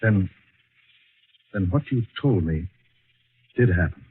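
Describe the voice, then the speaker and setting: A man's voice: sits low, lively intonation, slow pace, breathy edge, full character voice. An American actor playing a skeptical but caring male companion in a 1940s radio drama. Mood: curious, surprised. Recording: muffled, lo-fi vintage radio broadcast recording.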